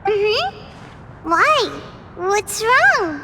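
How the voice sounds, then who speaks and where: Childlike wise deity, very high voice, unhurried, polite, gentle, video game dialogue, music underneath. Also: female voice, very animated, background noise, heavily acted. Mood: curious, concerned. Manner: polite, thoughtful, formal wording.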